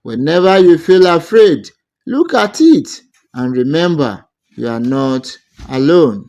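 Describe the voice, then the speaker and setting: A man voicing a gentle, patient city suitor in a folktale, speaking with reassurance. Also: a little high, sing-song, takes it slowly, exaggerated voice. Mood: tender, patient.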